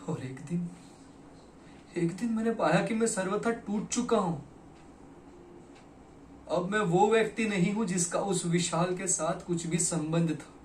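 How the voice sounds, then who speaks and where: A man performing a theatrical monologue as a broken, regretful poet, speaking dramatically and deliberately with weighted pauses. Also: fairly high pitch, a little mellow, natural voice. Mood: anguished. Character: broken, regretful poet confessing to his lost beloved. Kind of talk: theatrical Hindi stage monologue. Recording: echoey room, distant mic, faint noise.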